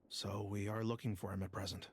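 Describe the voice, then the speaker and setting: A man voicing a dutiful male officer in a video game, reporting in a formal, measured manner. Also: lowish voice, little pitch movement, slight background noise, natural voice. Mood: frank, grave.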